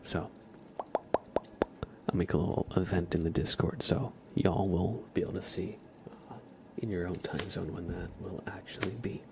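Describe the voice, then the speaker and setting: A man hosting a casual ASMR livestream, speaking softly and informally, often slipping into a breathy whisper. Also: low voice, moderate pace, heavy background noise. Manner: relaxed, informal, uses y'all. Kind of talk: casual ASMR stream chat.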